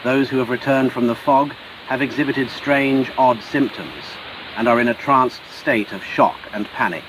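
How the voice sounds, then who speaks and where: A man's voice: medium pitch, normal speed, natural voice. A man with a British accent playing a grave TV emergency announcer, reading formal, urgent scripted news over hissy audio.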